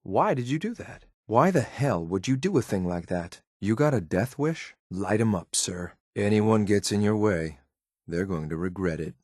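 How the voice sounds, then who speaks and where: A low, closely recorded voice of a hard-edged military companion from a video game. He is a dry, sardonic soldier with clipped phrasing, dutiful to his commander yet openly disdainful. The sound is dry, with no room around it. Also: animated, normal speed, darkish tone, dry recording. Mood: curt, confident. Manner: clipped, dry sarcasm, addresses superior respectfully.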